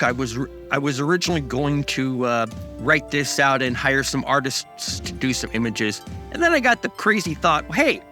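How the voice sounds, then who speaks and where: A man speaking informally as an audio drama's creator, halting and repeating phrases. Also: fairly high pitch, pushed and bright. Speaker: producer and writer of the audio drama. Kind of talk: informal thank-you message to listeners.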